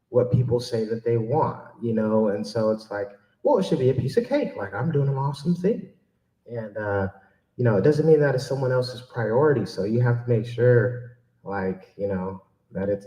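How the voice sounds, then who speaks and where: Echoey man speaking casually to an audience. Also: mid-range voice. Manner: joking, self-deprecating, animated when quoting himself. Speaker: keynote speaker and children's book author. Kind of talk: informal audience Q&A talk. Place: public library event room. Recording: echoey room, mic at a distance.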